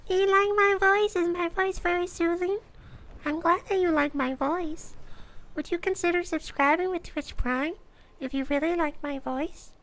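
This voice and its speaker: A sugary young woman, as a male streamer puts her on, speaking in a very high, soft, breathy voice. She coos everything in a fake-soothing ASMR manner. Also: steady tone, normal speed. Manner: breathy soft-spoken ASMR-style delivery. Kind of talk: joking live-stream bit.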